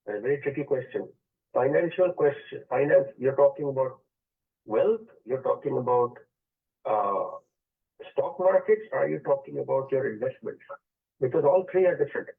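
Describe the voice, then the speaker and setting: A man's voice: medium pitch, animated, normal speed, vocal fry, breathy edge. A male radio astrology host taking a caller, explaining the chart and switching between English and Hindi phrases. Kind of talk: call-in radio consultation. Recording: tinny phone-line sound.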